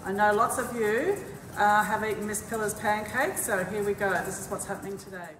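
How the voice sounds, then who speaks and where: A woman's voice: medium pitch, steady tone, a little fast, a bit bright, a little hiss or hum. Older woman teaching cooking. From Australia. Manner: cheerful, explaining step by step. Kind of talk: cooking demonstration. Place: large school kitchen, indoors. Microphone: camera at a distance. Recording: echoey kitchen from far off.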